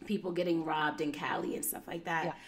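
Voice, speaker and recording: A woman's voice: deep voice, steady tone, fairly quick. Blunt, frank woman host. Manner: frank, blunt, casual slang. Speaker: podcast host. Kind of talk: casual interview chat.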